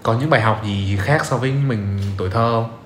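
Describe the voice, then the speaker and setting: A man's voice: mid-range voice, fairly quick, slight background noise. A young man hosting a casual interview podcast, agreeable and checking in with his guest. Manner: agreeable, frequent short acknowledgements. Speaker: podcast host. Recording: roomy echo, faint music behind. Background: faint music.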